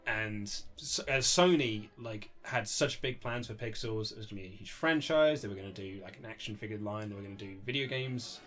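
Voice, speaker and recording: A man's voice: lowish voice, a little fast, few pauses, fairly bright tone. A man being interviewed as an author, chatting casually in an anecdotal way, acting out quotes and swearing mildly. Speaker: interview guest, author and writer. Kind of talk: casual animated interview chat. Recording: lo-fi amateur setup, music underneath.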